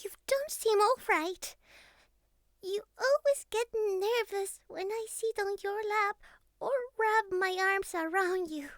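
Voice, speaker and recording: Very high-voiced, naive young catgirl. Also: slow pace, no echo, clean studio sound. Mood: anxious, affectionate. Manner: childlike, hesitant, pleading, slightly formal phrasing. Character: young catgirl, former house cat, naive, clingy, earnest. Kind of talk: intimate ASMR roleplay monologue.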